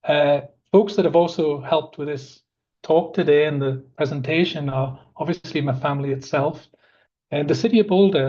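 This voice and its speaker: A man in his fifties, a park planning manager, presents a webinar in a croaky voice, talking in an earnest, conversational way with many fillers. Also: fairly high pitch, darkish tone. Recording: dull lo-fi audio.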